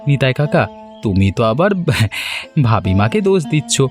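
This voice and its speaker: A man playing a cheeky, mocking village lad in an animated story, teasing as he talks. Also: medium pitch, moderate pace, soft background music, lightly acted.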